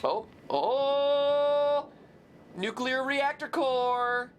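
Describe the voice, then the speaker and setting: A young man hosting a casual game livestream, goofy and excitable, breaking into shouts and playful sing-song bits. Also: very high-pitched, extremely slow, a little hiss or hum. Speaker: host. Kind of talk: casual let's-play chat.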